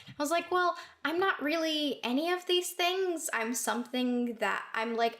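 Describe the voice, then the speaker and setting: Woman born in Sudan, podcast co-host, gravelly fry, earnest and conversational. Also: a little high, a bit bright. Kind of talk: casual chat.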